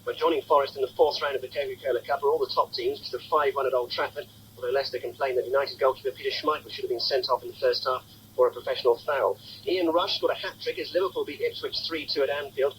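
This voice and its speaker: Brisk male sports newsreader. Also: medium pitch, fairly even intonation, fairly bright tone. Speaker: sports presenter. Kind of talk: scripted radio sports bulletin. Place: radio studio. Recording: faintly noisy old radio broadcast.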